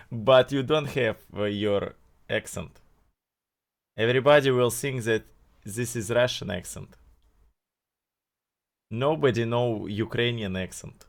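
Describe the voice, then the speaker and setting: Slow, halting non-native streamer man. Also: medium pitch, gravelly. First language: not English. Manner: halting non-native English, many fillers. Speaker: streamer reacting to videos. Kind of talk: casual live-stream commentary.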